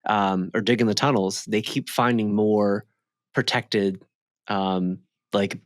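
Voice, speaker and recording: A man's voice: fairly low pitch, steady tone, slow, dry recording, studio quality. A man co-hosting a podcast, chatting casually in a slangy, emphatic, bantering way. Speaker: podcast co-host. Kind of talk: casual chat.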